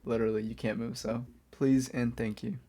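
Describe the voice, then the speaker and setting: A man playing a lonely reformed supervillain kidnapper in an ASMR roleplay, speaking softly and casually to the listener in a hesitant, coaxing way. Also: medium pitch, flat delivery, normal speed, slightly rough. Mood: polite warning. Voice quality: soft-spoken. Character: reformed male supervillain kidnapper, lonely, apologetic, gently friendly. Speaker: ASMR audio performer. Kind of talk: soft-spoken ASMR roleplay, addressing the listener.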